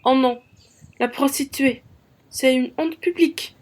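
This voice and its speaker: A woman's voice: high-pitched, steady tone, unhurried, darkish tone. A woman in a student radio play, playing a prim and scornful respectable bourgeois lady. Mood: outraged.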